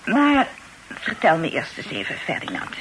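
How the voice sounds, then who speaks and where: An actress playing a fussy, bossy middle-aged aunt in a radio drama, chattering and flustered. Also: female voice, low voice, wildly swooping pitch, normal speed. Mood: chatty, brisk. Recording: noisy old radio play recording.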